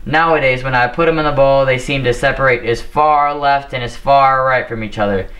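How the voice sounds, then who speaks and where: A teenage boy hosting a mock cooking show in a home kitchen, reciting his lines in an earnest, deadpan way. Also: mid-range voice, fairly quick. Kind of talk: scripted mock cooking demonstration. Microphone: camera mic, a few steps away.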